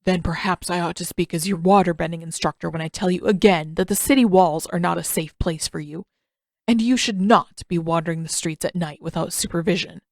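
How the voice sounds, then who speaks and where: A woman voicing a stern, proud old male master in a story reading, speaking in a formal, measured, condescending way. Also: lowish voice, dry recording, clean studio sound, natural voice. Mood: grave, scolding. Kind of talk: audiobook-style fan fiction character reading.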